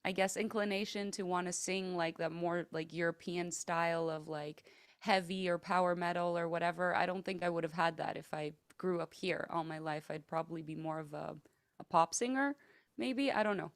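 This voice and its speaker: A woman's voice: lowish voice, fairly even intonation, normal speed, no echo, studio quality. A woman who sings metal, guest in a casual interview, talking in a chatty way with many fillers and easy laughs. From Greece and the United States.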